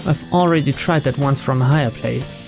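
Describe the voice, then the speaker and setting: A woman's voice: a bass voice, a little fast. A woman reading visual novel text aloud as dramatic narration for a gaming channel. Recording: loud game music behind voice.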